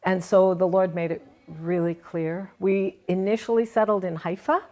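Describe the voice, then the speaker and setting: A woman's voice: fairly low pitch, fairly even intonation, moderate pace, warm tone. A woman guest giving an earnest, conversational account of her faith in an interview. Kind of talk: interview, personal testimony.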